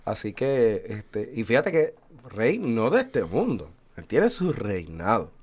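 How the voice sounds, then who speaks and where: Male co-host, 40s, lowish voice, reflective and hesitant, casual faith-radio talk. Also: very animated, a little mellow. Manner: thoughtful, hesitant with fillers, explains slowly. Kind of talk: casual religious radio chat.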